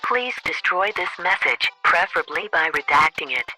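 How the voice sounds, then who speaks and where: Man as officious government aide, very crisp bright tone, higher pitch, formal, deadpan, satirical radio voicemail, music behind. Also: moderate pace, low-quality recording. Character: officious government chief of staff leaving bureaucratic orders.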